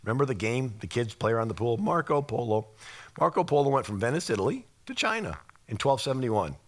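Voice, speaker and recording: A man with a slightly raspy voice giving an informal history lecture, explaining things and posing rhetorical questions as he goes. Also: mid-range voice, big pitch swings, quick pace.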